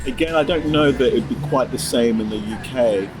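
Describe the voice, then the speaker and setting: A British man whose first language is Yoruba, hosting a relaxed, reflective conversation about running a business abroad. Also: mid-range voice, fairly quick, over music. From United Kingdom. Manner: relaxed, reflective, frequent soft "mm" backchannels. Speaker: host. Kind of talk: casual interview chat.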